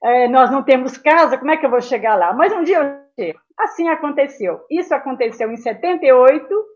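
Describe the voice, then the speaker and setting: An elderly woman tells her memories as an interview guest. Her voice sits fairly high, and she rambles from detail to detail, often tagging phrases with a small question. The sound is somewhat dull and lo-fi. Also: expressive, a little fast. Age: 70s. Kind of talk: reminiscing in a casual interview.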